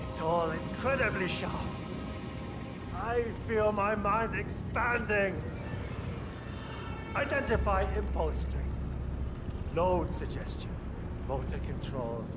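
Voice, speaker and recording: Game villain scientist, male: obsessive, power-hungry, slow halting delivery, highish voice, loud music over him. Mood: exalted, power-drunk. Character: obsessive mind-control scientist, Hush, straining and power-drunk. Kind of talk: dramatic video game acting.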